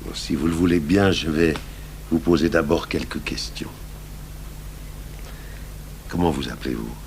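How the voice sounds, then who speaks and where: A very deep, gravelly middle-aged detective speaks with calm, fatherly patience. His measured, courteous delivery comes from an old radio play on noisy, humming tape. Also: full character voice. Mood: patient, probing. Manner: measured, courteous questioning and recounting. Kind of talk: 1980s French-language radio drama acting.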